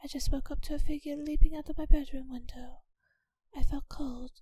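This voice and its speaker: A woman playing a kind but possessive, wealthy vampire mistress in a soft ASMR audio roleplay, speaking in a gentle, breathy near-whisper with genteel, unhurried reassurance. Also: high-pitched, flat delivery, no echo, lightly acted. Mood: wistful.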